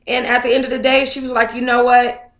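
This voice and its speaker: A woman's voice: on the high side, level tone, fast talker, fairly bright tone. An American woman hosting a book club livestream, chatting casually and reading aloud in a sassy, colloquial manner. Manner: sassy, colloquial, addresses listeners as honey and baby. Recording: echoey, roomy sound.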